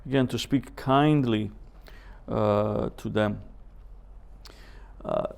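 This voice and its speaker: Gravelly male Bible seminar teacher. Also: on the low side, darkish tone. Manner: measured, with deliberate pauses and rhetorical questions. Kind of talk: seminar teaching with scripture quotations.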